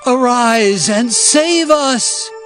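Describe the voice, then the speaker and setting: A man voicing the wayward people of Israel in dramatized scripture, declaiming in drawn-out, chanting phrases. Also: extremely high pitch, lively intonation, a bit bright, pitched above the performer's own voice, heavily acted. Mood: imploring. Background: music.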